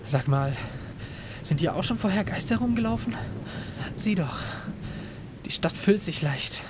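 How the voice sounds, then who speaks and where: A man's voice: sits high, expressive, a little slow. A man voicing a young male student exploring a haunted town in an amateur audio drama, talking eagerly and urgently. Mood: determined. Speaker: voice actor in a fan audio drama. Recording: ambient noise bed behind voice.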